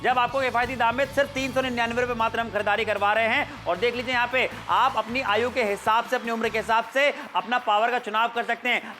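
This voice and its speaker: Male TV shopping host, high bright voice, with soft music under him. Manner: persuasive, insistent calls to order. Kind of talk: teleshopping sales pitch. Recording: studio with background music.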